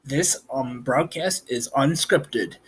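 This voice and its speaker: A man hosts a casual podcast. He talks in a halting, deliberate way, as if making it up as he goes. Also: medium pitch, breathy edge. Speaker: podcast host. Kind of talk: casual unscripted chat.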